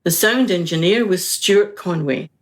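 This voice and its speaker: Slow, lowish-voiced woman reading credits. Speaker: credits reader.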